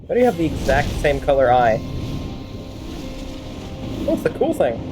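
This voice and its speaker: A woman's voice: deep voice, sing-song, normal speed, music in the background. A woman streaming a game with casual, rambling, playful commentary and sudden exclamations. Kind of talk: casual game commentary with in-character fan-dub banter.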